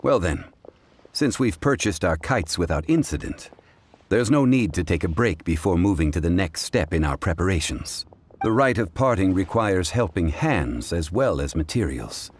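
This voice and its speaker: An actor playing a learned, composed gentleman in a video game, speaking in a formal, measured, eloquent manner. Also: low-pitched, sing-song, a little hiss or hum.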